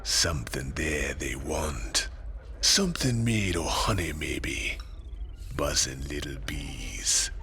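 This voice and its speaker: A man's voice: expressive, bright, forceful tone, soft background music, heavily acted. An actor playing an odd, sly old man who speaks in cryptic murmurs. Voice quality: very deep, slow, cryptic murmur. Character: odd old male seer, rambling and sly. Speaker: game character.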